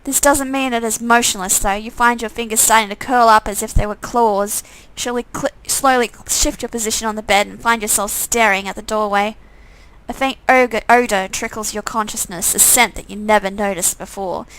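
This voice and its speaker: A woman's voice: fairly high pitch, steady tone, moderate pace, a bit bright, clean tone, low-quality recording. A woman reading a visual novel game aloud in dramatic narration, breaking off for casual, off-the-cuff comments.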